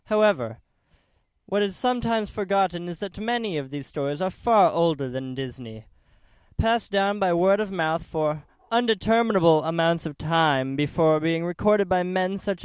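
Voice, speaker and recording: A man's voice: a little high, lively intonation, moderate pace, smooth, dry recording. A man narrating a documentary from a script in a formal, careful, essay-like manner. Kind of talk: scripted narration, read aloud.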